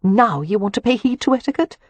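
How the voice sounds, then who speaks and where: An earnest young gentlewoman, gentle and pleading with a tart edge, as a woman narrator gives her voice. Her voice is lifted a few steps above the narration and stays breathy. The reading is close and dry. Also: mid-range voice, expressive, normal speed, dry recording.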